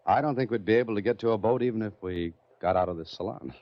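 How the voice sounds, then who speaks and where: An actor in a 1940s radio drama playing a wry, composed novelist hero who also narrates in a dry, clipped manner. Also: male voice, on the low side, quick pace, no room sound, lightly acted. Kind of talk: 1940s radio acting and first-person narration. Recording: dull old radio recording.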